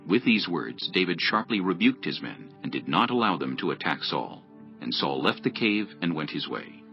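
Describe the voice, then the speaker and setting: A man's voice: low-pitched, sing-song, moderate pace, gravelly, soft background music. A man narrating scripture as a scripted dramatized audiobook. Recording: dull lo-fi audio.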